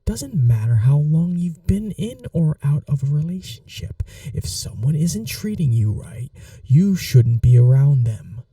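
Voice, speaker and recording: A man playing a calm, flirty, protective mafia boss in a soft-spoken ASMR roleplay, unhurried and reassuring with a teasing edge. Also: a little high, dry recording, distant mic. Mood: firm, protective.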